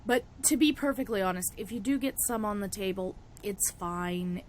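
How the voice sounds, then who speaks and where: A young woman whose voice is raspy from a cold talks through a hands-on craft tutorial in a loose, chatty way. She laughs a lot and keeps stopping herself mid-sentence. Her pitch sits in the middle range, and a faint hum can be heard in the background. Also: moderate pace. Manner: chatty, laughs often, self-interrupting. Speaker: witchcraft vlogger. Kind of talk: casual tutorial chat.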